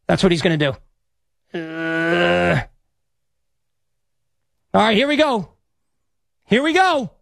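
A male sports radio host in a studio, rambling and excitable as he reacts to a live game, stammering and repeating himself, his voice slightly hoarse. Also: high-pitched, slow, pauses often, dry recording.

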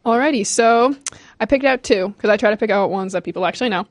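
Casting note: young woman, about nineteen, rapid filler-heavy chatter, dry close sound. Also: medium pitch, no room sound. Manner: chatty, many "like" fillers, giggly. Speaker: co-host. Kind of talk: casual chat.

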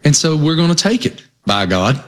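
A man's voice: on the high side, sing-song, normal speed. A man with a Southwest Virginia accent co-hosting a sports radio show, chatting casually with folksy, emphatic phrasing. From Southwest Virginia, United States. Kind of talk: casual chat.

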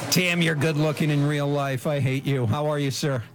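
A man's voice: on the high side, steady tone, normal speed, soft background music. A man hosting a talk show, introducing guests and putting interview questions to them.

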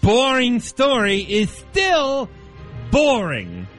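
A man's voice: very high-pitched, huge pitch swings, very slow, a bit bright, soft background music. A man reading dry, cutting rebuttals in a scripted comedy debate.

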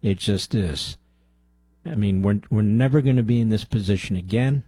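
Man, talk radio host, husky, dry studio mic, emphatic bursts. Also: on the low side, normal speed, warm tone, no echo. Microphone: studio microphone.

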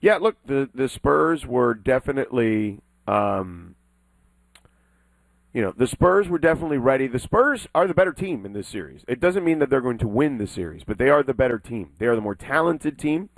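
A man's voice: medium pitch, expressive, normal speed, gravelly, no room sound, low-quality recording. A man co-hosting a casual sports talk show, emphatic and insistent, leaning hard on the key words.

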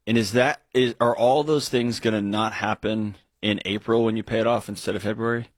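A man's voice: on the low side, fairly even intonation, a little fast, no echo, studio quality. A man in his forties co-hosting an advice call-in show, talking earnestly and reflectively with frequent restarts. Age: 40s. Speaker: co-host.

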